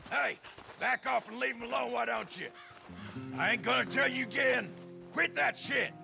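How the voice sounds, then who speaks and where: An actor playing a hostile, foul-mouthed American railroad worker in a Western game, talking belligerently. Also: male voice, extremely high pitch, moderate pace, gravelly, over music, full character voice. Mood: angry warning. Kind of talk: game ambient dialogue acting.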